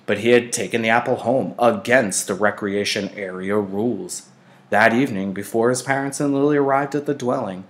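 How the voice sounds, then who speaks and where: A man reading a novel aloud like a teacher to a class, with deliberate, dramatic phrasing. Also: mid-range voice. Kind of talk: expressive audiobook-style read-aloud narration.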